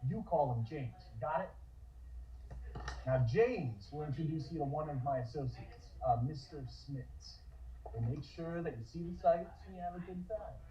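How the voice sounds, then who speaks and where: A man acting a smug, tipsy Prohibition-era small-town boss in a table read, halting and folksy in his delivery. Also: a little high, expressive, warm tone, close to an everyday voice. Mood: tipsy, genial. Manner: halting, folksy, self-assured. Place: indoor room on a multistream call. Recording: dull, roomy remote-stream audio.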